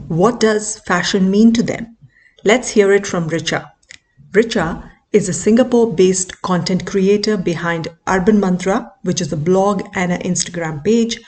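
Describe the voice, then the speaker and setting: A woman's voice: medium pitch, a little mellow. Earnest woman podcast host, echoey. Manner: earnest, direct address to listener. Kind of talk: scripted podcast narration.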